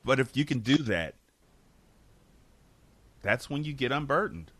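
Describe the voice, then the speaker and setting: A man's voice: mid-range voice, expressive, unhurried, a bit bright, husky. A man hosting a casual podcast discussion, talking in a reflective, earnest way with frequent restarts. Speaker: podcast host.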